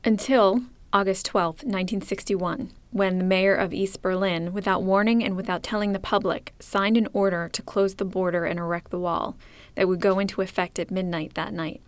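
A woman hosting a podcast, reading scripted documentary narration in a clear, measured storytelling manner. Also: medium pitch, steady tone, no echo. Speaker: podcast host.

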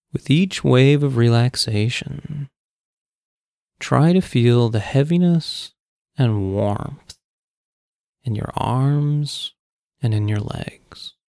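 A man leading a guided meditation, speaking in calm, measured phrases with long pauses. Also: mid-range voice, creaky, dry recording, clean studio sound. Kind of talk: guided meditation, slow soothing instruction.

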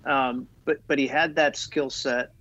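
A man's voice: mid-range voice, steady tone, measured pace, fairly bright tone, no room sound, dull audio. A man talking as an Army guest on a podcast, eager and loose, with many fillers and restarts. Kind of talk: conversational podcast interview.

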